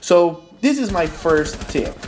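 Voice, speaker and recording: A man's voice: fairly high pitch, animated, takes it slowly, slight background noise. A young man from Brazil with a Brazilian accent, a non-native English speaker explaining gameplay tips step by step in a tutorial voice-over. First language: Portuguese. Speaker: gaming tutorial host.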